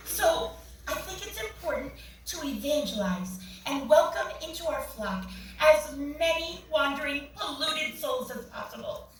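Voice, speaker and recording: A woman's voice: high-pitched, lively intonation, a little slow. A woman in a staged play reading, playing a pious, zealous churchgoer with an earnest, preachy manner. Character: pious, zealous churchgoing woman, primly fanatical about purity. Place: small theatre, staged reading. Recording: echoey theatre, far mic, faint noise.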